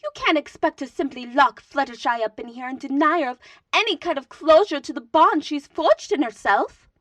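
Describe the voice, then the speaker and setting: Fancy dramatic pony lady, high swoopy voice, formal and theatrical, storybook cartoon. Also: lively intonation, normal speed, fairly bright tone, no room sound. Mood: indignant. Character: refined, dramatic female pony, romantic at heart.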